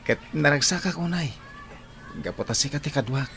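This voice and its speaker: A man's voice: fairly high pitch, moderate pace, gravelly, fairly bright tone. A man playing an earnest young suitor in an audio drama, with an Ilocano Filipino accent. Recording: faint outdoor ambience noise.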